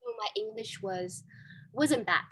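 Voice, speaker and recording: A woman's voice: a little high, very animated, measured pace, a bit bright, slightly rough, dry recording. A young woman from Vietnam speaking English with a Vietnamese accent, chatting candidly as an interview guest with many fillers and restarts. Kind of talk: casual interview chat.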